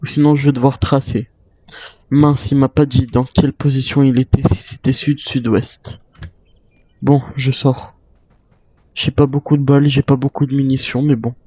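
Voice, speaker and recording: Man, mellow soft-edged timbre, lo-fi mic, improvised gameplay roleplay, thinking aloud. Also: medium pitch, flat delivery, normal speed, slightly rough, dry recording. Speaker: gamer roleplaying a zombie-island survivor. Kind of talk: in-character gameplay narration, improvised.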